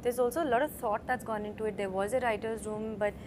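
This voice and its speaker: A woman, an actress in an interview, answering in a reflective, measured way. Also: on the high side, steady tone, noisy background.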